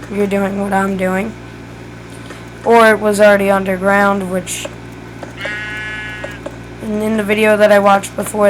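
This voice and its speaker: A woman's voice: mid-range voice, noisy background. A woman who is losing her voice gives a casual step-by-step game tutorial, thinking aloud as she builds. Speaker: gaming tutorial creator.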